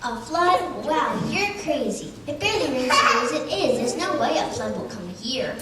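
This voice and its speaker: A woman's voice: high voice, lively intonation, normal speed, far from the mic. A woman playing chatty storybook characters in an amateur puppet show, recorded in a very echoey, noisy room. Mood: mocking.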